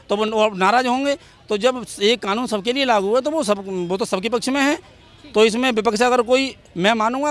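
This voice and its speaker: Man, very high pitch, emphatic, repetitive, street vox pop, outdoors. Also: a bit bright. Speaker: member of the public giving opinion. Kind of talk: street interview opinion.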